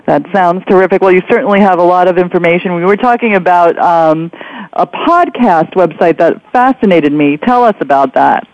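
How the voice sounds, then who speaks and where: A woman hosting a conversational interview, speaking in deliberate, halting phrases, on lo-fi radio audio. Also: lowish voice, expressive, no echo. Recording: dull lo-fi radio sound.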